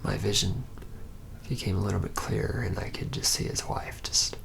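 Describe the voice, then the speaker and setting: A man playing a reluctant, haunted young vampire in an ASMR roleplay, telling his story in a hushed, halting murmur that slips into breathy whispers. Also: steady tone, full character voice. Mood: uneasy, reflective. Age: young adult. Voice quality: breathy whisper mixed with low, gravelly murmur. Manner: halting, hesitant, pausing mid-phrase.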